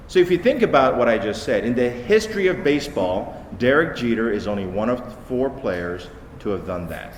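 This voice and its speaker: Man giving a punchy talk in an echoey hall. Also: mid-range voice. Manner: punchy, repeats "okay?" for emphasis. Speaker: conference speaker. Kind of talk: short conference talk. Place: large conference hall. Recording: echoey hall with light noise.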